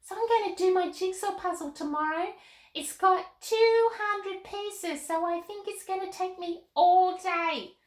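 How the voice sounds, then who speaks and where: A woman's voice: very high-pitched, a little slow, pitched above the performer's own voice. A woman voicing an eager, bubbly little girl character on a children's storytime show. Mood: proud, chatty. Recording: roomy, echoey room.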